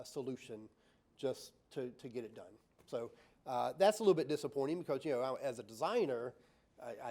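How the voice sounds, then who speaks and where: Brief: male designer on a panel, mid-pitched, chatty explanations, frequent fillers and tag questions. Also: darkish tone. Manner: many fillers and restarts, tag "right?"; explains with acted-out examples.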